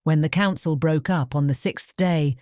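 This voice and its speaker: Female storybook narrator: mellow, measured bedtime reading, recorded very dry and close. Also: deep voice, no echo. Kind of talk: scripted bedtime fairy-tale narration.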